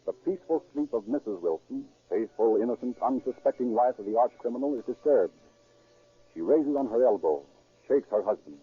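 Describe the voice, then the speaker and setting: A male radio narrator drives a crime story along at a rapid clip. The delivery is scripted 1930s drama, with a slightly rough, unclear voice on a dull vintage recording. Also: mid-range voice, expressive.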